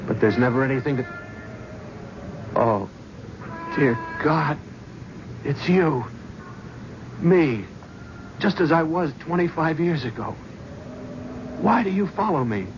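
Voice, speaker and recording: A guilt-ridden middle-aged agent, earnest and emotional, with a mid-range voice. The recording is muffled old radio with clear hiss. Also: male voice, a little high, animated, slow pace, long pauses. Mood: terrified. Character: remorseful middle-aged male talent agent. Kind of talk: 1970s radio drama acting.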